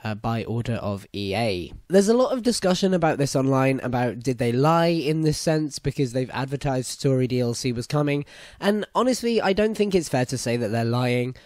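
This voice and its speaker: Hoarse young man hosting a podcast. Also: mid-range voice, animated, normal speed, a little mellow, no echo, studio quality. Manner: chatty, opinionated, apologetic asides. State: hoarse, croaky voice after a concert. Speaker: podcast host. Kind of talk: solo news podcast talk.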